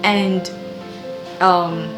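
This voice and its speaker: A woman's voice: on the low side, steady tone, drawn-out words. Earnest Ghanaian-accented young woman. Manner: earnest, encouraging. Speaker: vlogger giving a testimony. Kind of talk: personal faith testimony. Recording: echoey room with background music.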